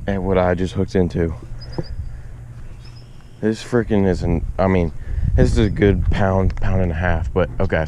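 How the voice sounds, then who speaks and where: A young man, excitedly narrating a fishing trip to camera beside an outdoor pond, filmed on an action camera. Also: deep voice, noisy background. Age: young adult. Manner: excitable, slangy, addresses viewers as y'all. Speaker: fishing vlogger. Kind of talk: casual self-filmed narration. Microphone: action camera on chest and at arm's length.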